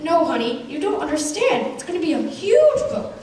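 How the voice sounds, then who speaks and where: Woman as faithful old husband, earnest, puppet show, high voice, distant and faintly noisy. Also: sing-song, moderate pace, dark tone. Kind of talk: amateur puppet show acting. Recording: echoey room from far off, noisy.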